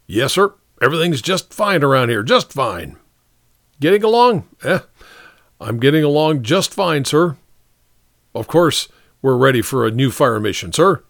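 A man's voice: mid-range voice, sing-song, measured pace, vocal fry, dry recording, studio quality, voice pushed up. A man voicing a strict, short-tempered, proud American army captain in an audiobook, giving clipped orders. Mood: eager, deferential. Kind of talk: audiobook character dialogue.

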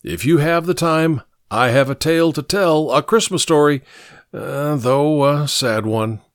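A man's voice: a little high, measured pace, no echo, clean studio sound, pitched above the performer's own voice. A man voicing an old, drunken, wistful scrounger who speaks in florid phrases and stumbles over his words. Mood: genial, melancholy. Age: older.

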